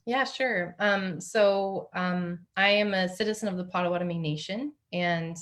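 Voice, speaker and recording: Candid woman author, low-ish voice. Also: a little slow, fairly bright tone. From Oklahoma and New Mexico, USA. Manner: candid, casual, with fillers. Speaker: author, interview guest. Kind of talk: conversational storytelling. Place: home office.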